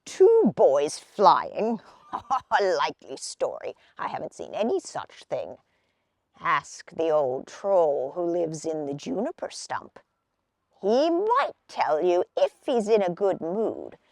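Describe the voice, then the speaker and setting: A woman's voice: low voice, huge pitch swings, slow pace, creaky, a little mellow, dry recording, voice pushed down, full character voice. A woman voicing a curt little old shopkeeper man in a storybook, grumbling and dismissive.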